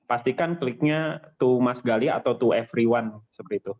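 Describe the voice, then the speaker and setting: A man moderating a webinar, briskly and politely telling the audience where to post questions. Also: mid-range voice, slight background noise. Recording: dull lo-fi call audio.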